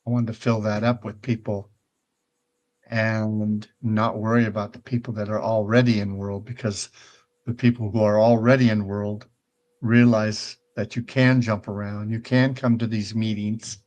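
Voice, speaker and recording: A man's voice: lowish voice, little pitch movement, normal speed, a little mellow. Older man rambling as a casual show host. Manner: rambling, conversational, often checks in with "right?". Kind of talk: casual virtual-world tour and chat.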